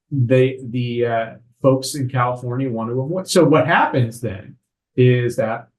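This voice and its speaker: Man, attorney, measured explanatory delivery, medium pitch. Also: rough recording. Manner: measured, explanatory, frequent "right?" tags. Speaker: estate attorney. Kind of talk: explanatory podcast interview.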